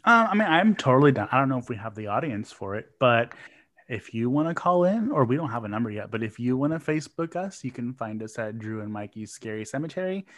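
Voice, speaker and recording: A man's voice: medium pitch, a bit bright. A man co-hosting a podcast, chatting in an easygoing, joking way. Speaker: podcast co-host. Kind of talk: casual chat.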